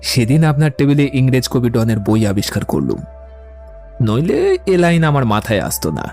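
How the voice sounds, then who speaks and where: A man's voice: mid-range voice, wildly swooping pitch, normal speed, warm tone, faint music behind. A man playing a witty, poetic young romantic in an audio drama, eloquent and playfully theatrical. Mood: fervent, poetic. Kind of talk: dramatised audiobook dialogue acting.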